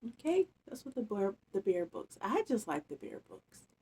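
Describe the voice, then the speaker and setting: A woman reading a picture book aloud, expressive and playful, with chatty casual asides to children. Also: mid-range voice, normal speed, breathy edge. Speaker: storytime reader.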